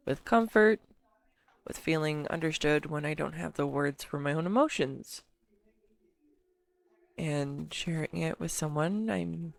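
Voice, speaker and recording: A woman acts out an earnest, bashful girlfriend in an intimate audio roleplay. Her voice sits low for a woman and she takes her time. She rambles affectionately to one listener, halting and teasing as she goes. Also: creaky. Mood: tender, earnest.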